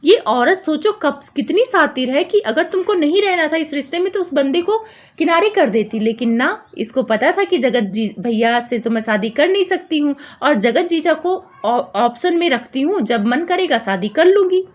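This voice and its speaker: A woman's voice: high-pitched, expressive, normal speed. A woman vlogger giving informal, opinionated commentary on other people's disputes, in an accusatory and repetitive way. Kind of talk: informal gossip commentary, recapping and judging a dispute.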